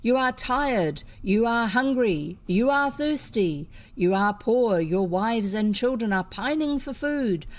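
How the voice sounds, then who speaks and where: A woman's voice: on the high side, expressive, unhurried, a little mellow. A woman reading a storybook as a tall working man, a fiery orator rousing a crowd with emphatic declamation. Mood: fervent, urging. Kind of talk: storybook character voice.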